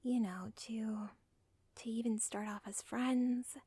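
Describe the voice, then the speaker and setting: A woman playing a villain's lovestruck, self-aware daughter in an ASMR roleplay, whispering softly with a halting, deliberate, intimate delivery. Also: a little high, fairly even intonation, dry recording. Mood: tender confession. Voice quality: soft, breathy, whispery.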